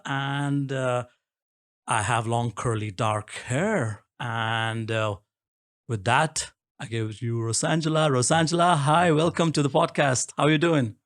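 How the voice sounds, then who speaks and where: Welcoming male podcast host. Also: mid-range voice. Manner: friendly, welcoming and talkative. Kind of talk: podcast interview. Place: recording studio.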